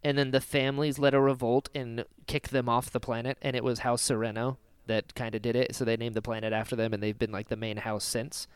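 A man co-hosting a casual fan discussion, talking in an animated, jokey way and slipping into little acted-out quotes. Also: mid-range voice, fairly quick, hardly any pauses, smooth, no echo. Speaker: podcast co-host.

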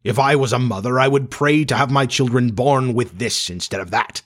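Man playing a menacing, slow-speaking pirate captain. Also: mid-range voice, natural voice. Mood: brooding dread. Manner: slow, dramatic, vengeful. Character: menacing, brooding pirate captain with a haunted dread.

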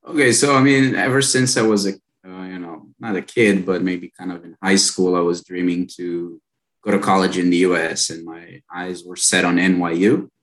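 A man in his late thirties from Israel with an Israeli accent, telling his story as an interview guest in a relaxed way with many fillers. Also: low voice, moderate pace, low-quality recording. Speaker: company founder and guest. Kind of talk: casual interview chat.

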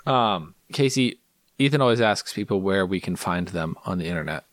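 Lively, playful male podcast host. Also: deep voice, normal speed, dry recording, clean studio sound. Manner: playful, self-mocking, reads out scores. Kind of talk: casual podcast chat.

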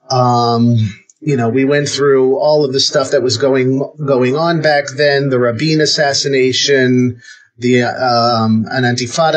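A man in his thirties with an American accent, answering interview questions earnestly, pausing often with ums. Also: medium pitch. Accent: American, lived long in Israel. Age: 30s. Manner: earnest, hesitant with many ums. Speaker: guest.

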